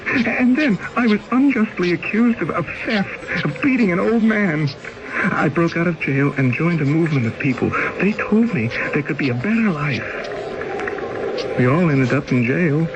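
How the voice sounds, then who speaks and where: A desperate young exile. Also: male voice, a little high, animated, normal speed, highs cut off. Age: 20s. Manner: pleading, anguished. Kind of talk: 1970s radio drama acting. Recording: noisy old radio broadcast.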